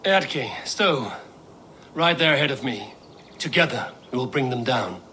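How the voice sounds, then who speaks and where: An actor playing a resolute, proud Viking war leader in a video game, grave and terse. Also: male voice, medium pitch, expressive, measured pace, sharp tone. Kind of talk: dramatic video game acting. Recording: noisy game ambience behind.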